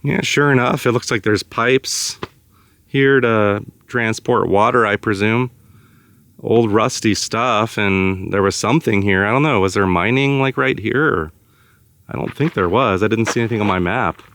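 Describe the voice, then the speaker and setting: A man with a raspy voice vlogging casually outdoors in the desert, thinking aloud as he goes in and out of his truck. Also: on the low side, normal speed, a bit bright. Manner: thinking aloud, rambling with fillers. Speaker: travel vlogger. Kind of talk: casual travel vlog.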